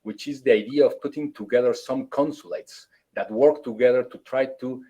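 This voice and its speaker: A man, a consulate official, giving formal opening remarks at a webinar over a video call, halting and full of fillers. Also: mid-range voice, mellow, dull audio. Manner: halting, many fillers and restarts, formal phrasing.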